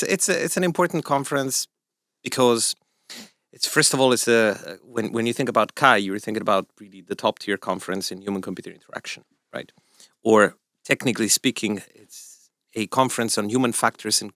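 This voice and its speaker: A man's voice: fairly low pitch, fairly bright tone, dry recording, studio quality. A middle-aged man from Italy with an Italian accent, chatting on a podcast as a research professor, rambling with many fillers and jokey asides. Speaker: research professor, lab co-director. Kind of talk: casual podcast chat.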